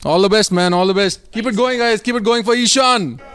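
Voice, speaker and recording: A man's voice: sits high, brisk, fairly bright tone, slight background noise. A young man hosting a comedy audition sketch, playful and teasing. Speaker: host.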